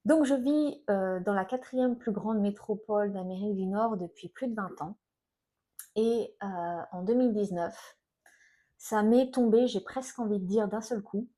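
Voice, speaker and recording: A middle-aged woman speaks with an accent from France in a soft-edged, mellow tone. She talks informally, as if to her own online followers, and often stops to search for the next word. Her pitch sits in an average range for a woman. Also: normal speed. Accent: French from France. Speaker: self-employed energy healer and coach, video host. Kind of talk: personal vlog, informal talk to viewers.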